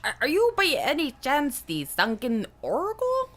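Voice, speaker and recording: A woman's voice: high-pitched, wildly swooping pitch, moderate pace, a bit bright. A woman bantering casually and playfully as a player in a tabletop role-playing game.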